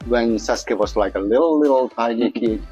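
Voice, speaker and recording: A middle-aged man from Japan giving a casual interview in a halting, jokey way. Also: mid-range voice, a little mellow, slightly rough, dull audio, faint music behind. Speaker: interviewee, acroyoga practitioner.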